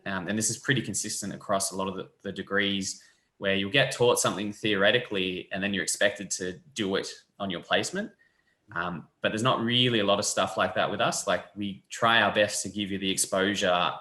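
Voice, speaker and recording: A young man, a physiotherapy lecturer explaining the course in a relaxed, friendly way over a video call. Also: on the low side. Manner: relaxed, friendly, loose fillers. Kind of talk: casual explanatory chat. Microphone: webcam on a video call. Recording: echoey room.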